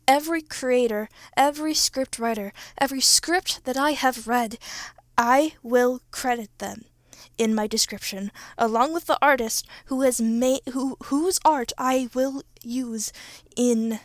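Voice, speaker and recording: Higher-voiced young woman, dry and casual. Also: no echo. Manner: informal, halting and self-correcting, with mild swearing. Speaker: ASMR roleplay creator and channel host. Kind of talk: casual off-script chat to listeners.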